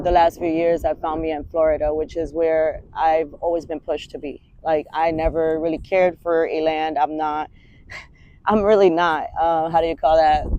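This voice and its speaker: A woman's voice: deep voice, dark tone, lo-fi sound. Candid, swearing New woman coach. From Washington Heights, New York. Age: 30s. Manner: candid, rambling, swears freely. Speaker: fitness coach. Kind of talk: casual vlog monologue.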